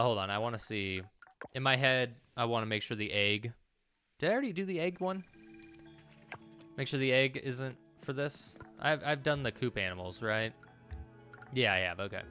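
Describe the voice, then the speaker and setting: A man in his thirties hosts a casual game playthrough, thinking aloud in a rambling way. His voice is bright, over faint music. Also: mid-range voice. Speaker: gaming video host.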